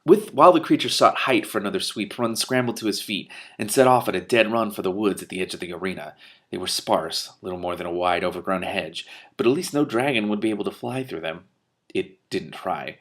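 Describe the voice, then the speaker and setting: Guy with a lowish voice reading a book out loud, kinda loose, tosses in little comments. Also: a little fast. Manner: animated in dialogue, stumbles and rereads. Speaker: reader giving commentary on a book. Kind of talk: expressive storybook narration with off-the-cuff asides.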